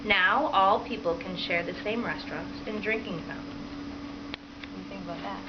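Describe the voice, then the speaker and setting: A woman primary school teacher reading a picture book aloud to children and pausing to prompt them with questions. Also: medium pitch. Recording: dull lo-fi sound with clear background noise.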